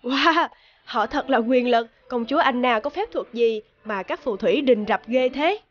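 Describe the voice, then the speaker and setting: A woman voicing a kindly fairy-godmother judge in a cartoon, speaking in a measured, gently questioning way. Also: fairly high pitch, dull audio, lightly acted. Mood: gently curious. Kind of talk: animated cartoon dialogue.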